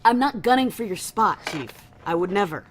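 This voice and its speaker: A woman's voice: mid-range voice, lively intonation, moderate pace, slight background noise, close to an everyday voice. A woman playing a loyal, foul-mouthed security deputy in a science fiction audio drama, speaking casually and colloquially, a little hesitant with her boss. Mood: tentative, pleading.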